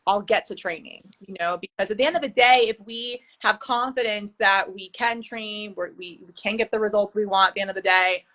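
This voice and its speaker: A woman's voice: medium pitch, a little fast, few pauses, bright, forceful tone, poor audio. A woman in her thirties, a fitness and nutrition coach, chatting casually and enthusiastically as a podcast co-host, with plenty of fillers. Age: 30s.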